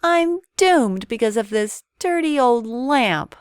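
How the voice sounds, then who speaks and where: Woman as young storybook boy, raised pitch, eager, earnest, small and poor dreamer. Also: animated, slow pace, smooth, dry recording, clean studio sound. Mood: despairing.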